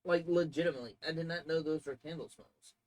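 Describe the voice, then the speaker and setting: A man's voice: a little high, steady tone, fast, a little mellow, low-quality recording. Nasal man with a slight cold. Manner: rambling, filler-heavy, swears freely. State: slight cold, stuffy nose. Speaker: streamer answering viewer questions. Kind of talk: casual chat.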